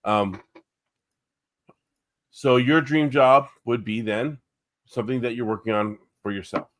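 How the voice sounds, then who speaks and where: A man co-hosting a casual art livestream, enthusiastic and quick to agree. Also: medium pitch. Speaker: co-host and colorist.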